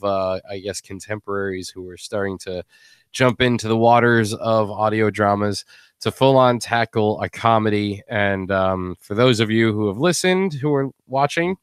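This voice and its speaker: A man's voice: on the low side, moderate pace, clean tone, dry recording, studio quality. A man hosting a live stream in a casual, rambling, joking way. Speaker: host.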